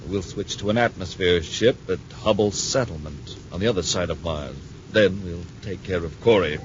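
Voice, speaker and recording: An actor playing a murderous, commanding gang boss in a 1950s radio serial, deliberate and menacing in delivery. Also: male voice, sits low, natural voice. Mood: cold scheming. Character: scheming, murderous male villain, coldly confident gang boss. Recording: noisy, muffled 1950s radio recording.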